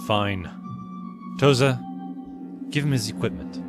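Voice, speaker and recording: A man's voice: medium pitch, slow pace, music in the background, lightly acted. A man playing a stern, suspicious nineteenth-century naval officer in an audio drama. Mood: grudging, commanding. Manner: stern, accusatory.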